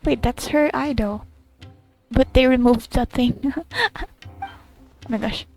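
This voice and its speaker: High-pitched, creaky young-sounding woman gamer. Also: slow, a little mellow. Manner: giggly, gushing, thinks aloud. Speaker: gamer reacting to a story quest. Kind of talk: casual let's play commentary. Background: faint game music.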